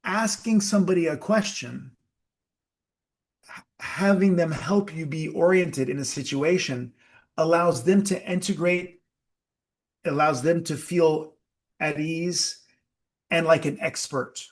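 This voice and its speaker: A man leading a live group workshop, talking slowly and emphatically and putting questions to his audience. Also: a little high. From United States. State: sweating. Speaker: workshop leader.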